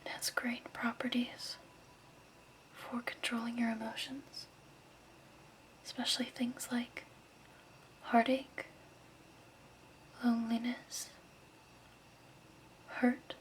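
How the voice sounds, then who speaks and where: A woman playing a gentle, soothing crystal shop keeper in a hushed, patient ASMR roleplay whisper. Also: a little high, little pitch movement, slow, long pauses, poor audio. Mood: gentle, explaining.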